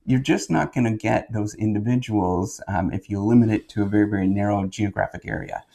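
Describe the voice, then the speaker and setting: A man with a Canadian accent giving a casual explainer monologue as a professor, in a halting, rambling way, correcting himself and checking in as he goes. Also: on the low side, gravelly, warm tone. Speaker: professor and video host.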